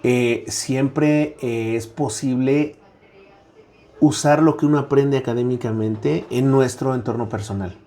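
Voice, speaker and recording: A middle-aged man with a Mexican accent talks as an interview guest, his voice dark and mellow at an ordinary middle pitch. He thinks aloud, padding his points with "eh" and checking in with "¿no?". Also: steady tone, unhurried. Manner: reflective, frequent "eh" fillers and tag "¿no?". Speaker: guest academic. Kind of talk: conversational interview.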